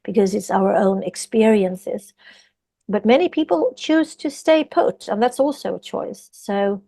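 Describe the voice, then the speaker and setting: A woman's voice: mid-range voice, a little slow, creaky, warm tone, dry recording. A woman from Sweden with a Swedish accent, a yoga teacher talking earnestly as a podcast guest and repeating phrases for emphasis. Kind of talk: podcast interview.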